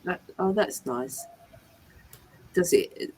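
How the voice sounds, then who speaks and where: A woman's voice: lowish voice, animated, drawn-out words, darkish tone, husky. A woman from England, tutoring a learner one to one and repeating and rephrasing her questions patiently. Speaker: English tutor. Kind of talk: online one-to-one English lesson.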